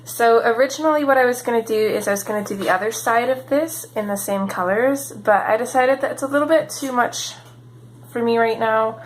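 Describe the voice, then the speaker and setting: A woman's voice: fairly high pitch, brisk, reverberant, slight background noise, rough recording. Sniffly woman chatting while coloring. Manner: relaxed rambling with frequent ums. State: sniffly with a cold. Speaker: coloring channel host. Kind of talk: casual chatty coloring tutorial.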